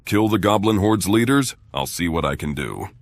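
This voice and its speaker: An actor playing a calm, principled paladin adventurer in a fantasy game, speaking plainly and in a measured way. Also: male voice, sits low, dry recording. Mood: calm, firm.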